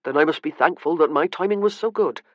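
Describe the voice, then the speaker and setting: A man voicing a polite, restrained young gentleman who speaks formally and measuredly. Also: fairly high pitch, fast, no echo, muffled recording, close to an everyday voice.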